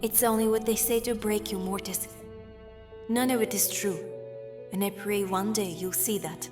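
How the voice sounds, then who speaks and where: A woman's voice: medium pitch, fairly even intonation, normal speed, close to an everyday voice. A woman as sorrowful drow sister over music. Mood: pitying. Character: tender, sorrowful drow sister, gently manipulative. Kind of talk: dramatic fantasy audio acting.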